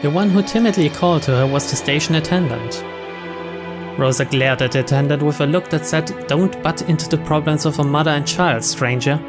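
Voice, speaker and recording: A man's voice: a little high, a little fast, over music. A man reading scripted story narration aloud from a visual novel as a let's player.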